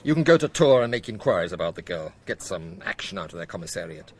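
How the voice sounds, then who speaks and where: An actor playing a brisk, sardonic police chief giving orders in a radio drama, with a dry, authoritative manner. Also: male voice, low voice, big pitch swings. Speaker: senior police officer.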